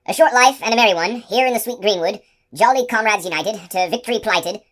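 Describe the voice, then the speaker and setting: A woman voicing a gruff, bumbling giant outlaw man who speaks loudly in old-fashioned country speech, for an audiobook. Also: mid-range voice, animated, takes it slowly, voice pushed up. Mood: blustering.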